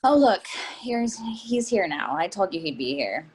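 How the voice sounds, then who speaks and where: Easygoing, teasing mom played by a stage actress, mid-pitched female voice, some background noise and clicks. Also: big pitch swings, a little slow. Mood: reassuring. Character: easygoing, well-dressed mother with teasing wit. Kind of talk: readers theatre dialogue.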